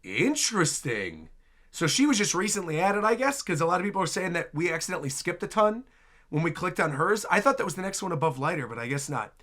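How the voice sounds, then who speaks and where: Bright, animated high-pitched male reaction host. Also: fast talker. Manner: enthusiastic exclamations. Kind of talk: casual reaction commentary.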